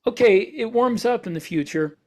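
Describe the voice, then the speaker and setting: Opinionated podcast co-host, halting male speaker. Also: high-pitched, expressive, darkish tone. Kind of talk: casual podcast discussion.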